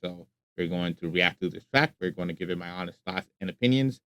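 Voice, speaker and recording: A man's voice: low-pitched, brisk, fairly bright tone, smooth, no room sound. A man hosting a music reaction video, talking casually, bluntly and with plenty of swearing. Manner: blunt and profane, speaks his mind.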